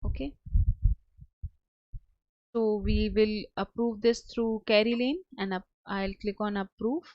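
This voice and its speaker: A woman narrating a step-by-step software tutorial as a trainer, with a halting, hesitant delivery. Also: mid-range voice, fairly even intonation, no echo, lo-fi sound.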